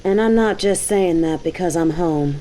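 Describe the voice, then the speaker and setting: A woman's voice: fairly low pitch, moderate pace, mellow, a little hiss or hum, natural voice, poor audio. A woman playing a cocky, dominant bounty hunter in an audio roleplay, with a mocking, taunting, slangy delivery. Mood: smug. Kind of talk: scripted roleplay audio drama.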